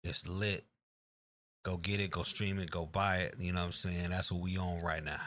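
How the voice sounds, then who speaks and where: A man's voice: low voice, level tone, moderate pace, a bit bright. A man, a guest rapper, talking casually and emphatically, repeating his call to buy music. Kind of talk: casual chat.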